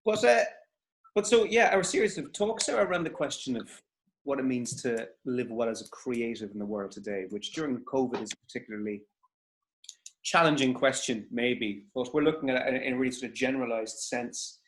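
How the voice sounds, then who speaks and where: A man from Waterford whose Irish accent has softened over time. He hosts in a mid-pitched, eager, chatty way, often restarting his sentences as he warms up his questions. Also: brisk, poor audio. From Ireland, Waterford. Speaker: host, interviewer. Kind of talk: casual interview over video call.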